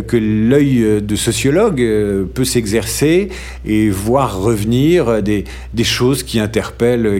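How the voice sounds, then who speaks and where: A middle-aged man from France in an interview, talking thoughtfully with frequent "euh" pauses and restarts and some dry humor. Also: mid-range voice, a little mellow. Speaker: interview guest. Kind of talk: hesitant conversational interview.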